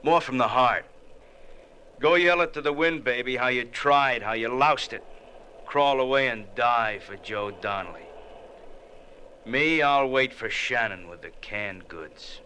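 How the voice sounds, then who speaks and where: A man's voice: medium pitch, unhurried, bright, forceful tone, slight background noise. An actor playing a cocky, menacing smuggler with a gun, taunting in tough-guy slang in an old radio adventure. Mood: mocking.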